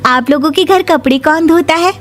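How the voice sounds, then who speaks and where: Outspoken young bride, high-voiced played by a woman. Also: moderate pace, a bit bright. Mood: forthright. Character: outspoken young village bride, eager washer.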